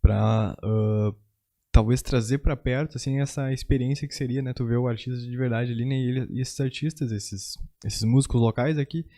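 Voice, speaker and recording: A man's voice: mid-range voice, steady tone, moderate pace, dark tone. A man with a southern Brazilian gaúcho accent, chatting casually and loosely as a podcast host, with frequent tag words. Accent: Brazilian Portuguese, southern gaúcho. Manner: informal, loose and rambling with frequent tags. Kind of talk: casual chat.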